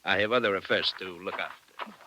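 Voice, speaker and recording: An actor playing a vain Sicilian bandit chief in old-time radio drama style, with an Italian accent and florid, mock-courteous menace. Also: male voice, sits low, brisk, bright, forceful tone, a little hiss or hum, rough recording. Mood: smug, condescending.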